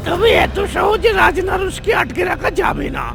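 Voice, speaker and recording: A man voicing a fawning, jittery talking rabbit minion in a fairy-tale cartoon, squeaky and mocking. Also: moderate pace, gravelly. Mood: nervous, eager. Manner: mocking, then stammering and obsequious. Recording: loud background music.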